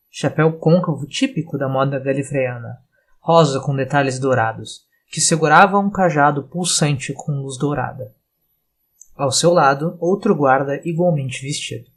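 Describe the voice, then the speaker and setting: A man's voice: fairly high pitch, husky. A man with a Brazilian accent reading scripted story narration as the narrator of an audio tale. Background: music.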